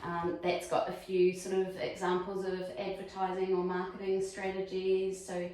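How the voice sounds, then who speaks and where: A woman's voice: mid-range voice, monotone, normal speed, a little mellow. A woman in her forties with a New Zealand accent, playing a friendly, chatty real estate agent with many ums. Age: 40s. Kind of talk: acted sales meeting. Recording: echoey room, mic far off.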